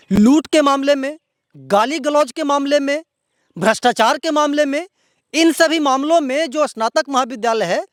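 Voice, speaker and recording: Very high-pitched Bihari man, indignant. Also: no echo, studio quality. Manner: indignant, emphatic, repetitive. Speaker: local news presenter. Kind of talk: outspoken news commentary to viewers.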